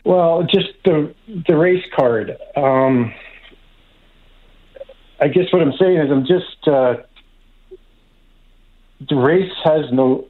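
A man phoning in to a radio show, rambling hesitantly through his comment with many pauses. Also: a little high. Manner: halting, hesitant, meandering. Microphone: telephone. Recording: thin, band-limited phone line.

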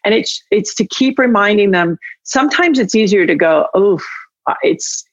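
A woman hosting a podcast interview, talking casually and haltingly, often interrupting herself, with an encouraging manner. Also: medium pitch, expressive, no echo. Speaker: podcast host. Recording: dry, slightly dull lo-fi sound.

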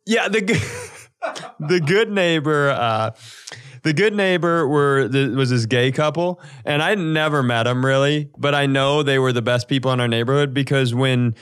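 A man co-hosting a podcast, telling comedic stories casually in an animated, sweary way and acting out dialogue. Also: mid-range voice, moderate pace, clear, resonant tone. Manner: animated, profane, acts out quoted dialogue. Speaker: podcast co-host.

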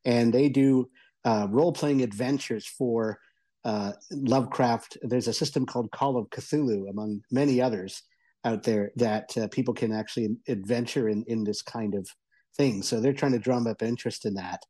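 A man giving a conversational review as a radio columnist, explaining things eagerly in a halting way. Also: medium pitch, warm tone. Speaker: audio entertainment columnist and reviewer.

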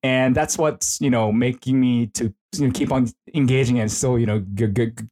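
A man's voice: medium pitch, steady tone, normal speed, a little mellow. A middle-aged man from South Korea with a Korean accent, chatting informally as an interview guest in a hesitant, rambling way. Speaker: esports consultant and professor, interview guest. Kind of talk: casual conversational interview.

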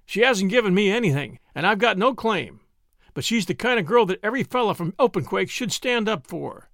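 A man voicing a loyal young soldier who talks firmly and earnestly to his mess. His voice runs high and lively in a dry, close sound. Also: brisk, vocal fry, no echo, full character voice. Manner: firm, earnest.

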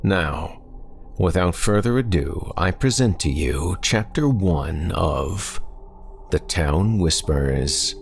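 A man with an extremely deep voice delivers a scripted horror podcast introduction. He speaks slowly and deliberately, with a gravelly edge and a theatrical, ominous manner. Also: animated, a little hiss or hum. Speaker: podcast host.

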